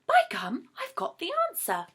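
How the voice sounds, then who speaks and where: A woman's voice: sits high, very animated, normal speed, a bit bright, pitched above the performer's own voice, heavily acted. A woman voicing a clever, brooding male pig in a storybook poem, declaiming dramatically. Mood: elated.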